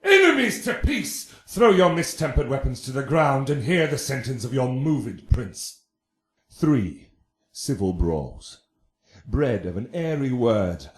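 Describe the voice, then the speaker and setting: Slow, echoing, commanding prince. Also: male voice, medium pitch. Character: stern, commanding prince rebuking brawling subjects. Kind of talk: declamatory Shakespearean radio acting.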